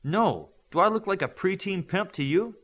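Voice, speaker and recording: A man's voice: high voice, animated, normal speed, a bit bright, pitched above the performer's own voice, exaggerated voice. Male reader as wry young hero, American boy, audiobook dialogue, dry and casual. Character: laid-back, wry American boy superhero.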